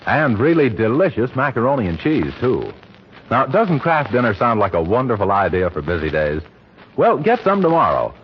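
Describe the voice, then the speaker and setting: A man reading a scripted 1940s radio commercial and story narration as a polished, emphatic announcer. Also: medium pitch. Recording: dull old radio recording, faint noise.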